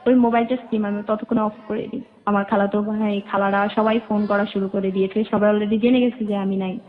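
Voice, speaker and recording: A woman's voice: mid-range voice, little pitch movement, a little fast. A woman with a Bangladeshi accent telling her life story as an interview guest, in detail and with remembered dialogue. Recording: muffled, narrow phone-like band.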